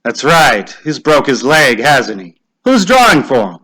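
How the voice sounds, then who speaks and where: A man voicing a brisk, genial small-town official in a story, folksy and businesslike as he calls out to villagers. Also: mid-range voice, very animated, sharp tone, slightly rough, no echo, pitched above the performer's own voice, full character voice, rough recording. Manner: folksy, businesslike, calling out to a crowd.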